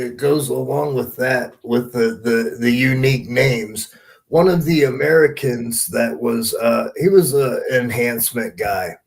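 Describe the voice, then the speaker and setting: A man's voice: medium pitch, creaky. A man hosting a podcast in casual chat, telling anecdotes in an informal, emphatic, sweary way. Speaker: podcast host.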